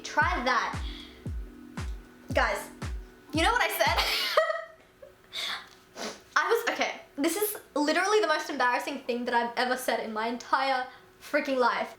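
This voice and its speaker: Young woman vlogger from Russia, casual storyteller, high-pitched, roomy echo, music underneath. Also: a bit bright. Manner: chatty, fills speech with "like", jumps high for quoted voices.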